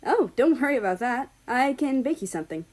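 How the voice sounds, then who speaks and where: A woman's voice: sits high, sing-song, brisk, a little mellow, voice pushed up. An eager, friendly guy in a storybook, acted out by a woman reader. He talks chattily and is keen to please, with a nervous edge. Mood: eager, welcoming. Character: eager, friendly, nervous young male character.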